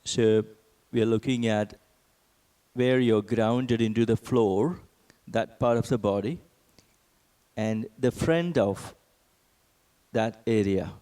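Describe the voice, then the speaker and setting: A man teaching a guided meditation, speaking in a gentle, measured manner with long pauses between phrases. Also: fairly low pitch.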